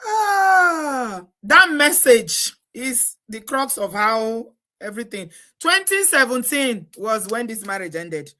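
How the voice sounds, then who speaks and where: A woman's voice: fairly high pitch, very animated, takes it slowly, hardly any pauses, sharp tone. A Nigerian woman hosting a live stream, chatty and exclamatory, often breaking into laughter. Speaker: host. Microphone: live stream setup.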